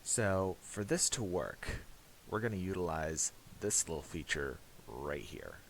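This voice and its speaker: Slow, low-voiced man giving a tutorial. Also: very animated, creaky, rough recording. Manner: halting, thinking aloud. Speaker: tutorial presenter. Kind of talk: casual unscripted software tutorial narration. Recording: faint hiss and mouse clicks.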